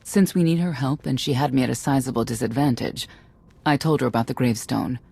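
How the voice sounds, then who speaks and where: A wary female ally with a dark, creaky voice. She sounds wry and husky, in the style of a scripted video game. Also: extremely low pitch, brisk, heavily acted.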